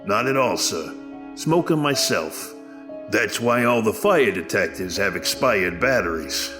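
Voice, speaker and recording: A small-town lawyer in an audio drama. He has a fairly low voice and an informal, obliging manner, chatting his way through business. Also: animated, a little slow, music in the background. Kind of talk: scripted audio drama dialogue.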